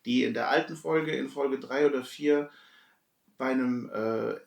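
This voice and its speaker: Creaky-voiced middle aged guy podcasting, stops n starts, roomy sound, bit far off the mic. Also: medium pitch, unhurried. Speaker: podcast host. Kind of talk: casual nostalgic chat among friends. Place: echoey room, away from the mic.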